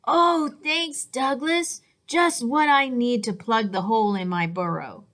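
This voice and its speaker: A woman's voice: fairly high pitch, measured pace, a bit bright, voice pushed up. A woman voicing a kind, thoughtful female rabbit in a children's storybook, friendly and soothing. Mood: pleased.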